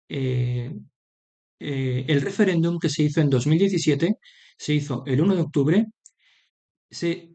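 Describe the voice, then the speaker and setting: Halting Madrid man, slightly high, mellow voice. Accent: Madrid Spanish. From Madrid, Spain. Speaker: commentator presenting slides. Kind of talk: political commentary, unscripted slide talk.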